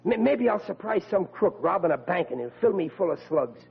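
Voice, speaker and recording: An actor playing a bumbling, excitable young rookie cop in 1940s radio comedy style, stammering in ungrammatical everyman talk. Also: sits high, fairly quick, creaky, slightly rough, heavily acted. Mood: eager, hopeful. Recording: tinny old radio recording.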